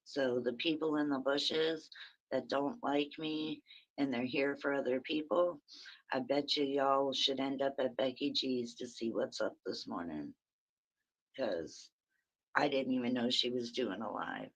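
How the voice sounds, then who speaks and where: A woman with a very raspy voice, hosting a rambling, chatty early-morning livestream from her phone and talking to viewers by name. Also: extremely low pitch, unhurried, rough recording. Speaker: livestream host.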